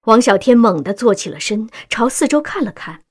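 Expressive woman narrator, slightly husky. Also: fairly high pitch, moderate pace. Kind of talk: scripted dramatic narration.

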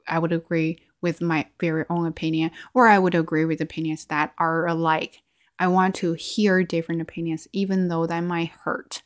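A young woman with as her chats in English on her own podcast. Her voice sits a little low, and she talks thoughtfully, often backing up to restart a phrase or correct herself. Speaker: podcast host. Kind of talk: casual solo chat to listeners.

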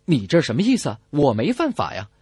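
A man's voice: fairly high pitch, wildly swooping pitch, quick pace, a little mellow, breathy edge, dry recording, studio quality, heavily acted. A man voicing a cheeky, indignant poacher who pleads innocence and plays dumb in a comedy radio sketch. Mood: indignant, insistent.

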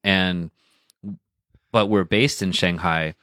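A man's voice: sits low, fairly even intonation, slow pace, pushed and bright, no room sound, studio quality. A man co-hosting a podcast in casual chat, talking in a rambling way full of "like" and slipping into Mandarin. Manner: rambling, filler-heavy, switches into Mandarin. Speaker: podcast co-host.

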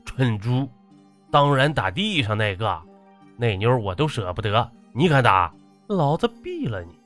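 A man's voice: lowish voice, very animated, normal speed, soft background music. A man voicing a short-tempered, lecherous battalion commander in an audiobook, swearing and barking his orders. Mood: angry, barking. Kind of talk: audiobook character acting.

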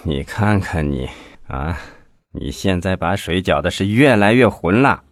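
A man voicing an aging male party official who scolds his nephew in a slow, heavy, sighing way. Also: deep voice, no echo, clean studio sound. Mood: weary scolding.

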